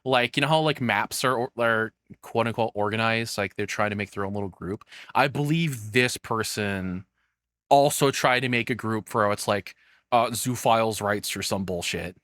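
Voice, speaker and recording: A man's voice: fairly low pitch, normal speed, a bit bright, dry recording. A man doing casual live reaction commentary as a streamer, rambling and swearing in an informal way.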